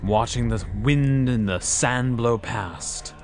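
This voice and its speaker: A man's voice: mid-range voice, slow pace, darkish tone. A man narrating an adventure serial in a dramatic, old-time style, melodramatic and suspenseful. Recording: prominent music behind the voice.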